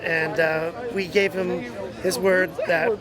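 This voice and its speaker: An American man, a rally organizer, explaining things haltingly in an unscripted outdoor interview. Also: high-pitched, little pitch movement. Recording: outdoor crowd noise behind voice. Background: crowd chatter.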